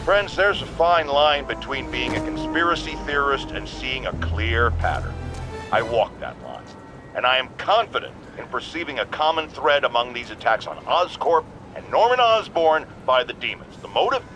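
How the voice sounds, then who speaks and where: Pompous blustering radio host, music behind. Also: male voice, medium pitch, sing-song, moderate pace. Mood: grave alarm. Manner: pompous, emphatic, indignant. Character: blustering, self-righteous, paranoid male talk radio host. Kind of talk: opinionated talk radio rant.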